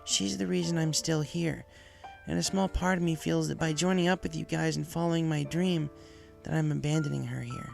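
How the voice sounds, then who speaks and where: A man voicing an eager but hesitant young female pegasus pony in a story reading, speaking haltingly, with music behind. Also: on the high side, steady tone, vocal fry, pitched above the performer's own voice. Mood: torn, hesitant.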